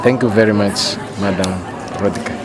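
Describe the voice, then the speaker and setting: A man's voice: fairly low pitch, fairly even intonation, moderate pace, a loud crowd, very noisy. A man from Zambia with a Zambian accent gives a spoken testimonial, repeating words for emphasis. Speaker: museum professional giving a testimonial.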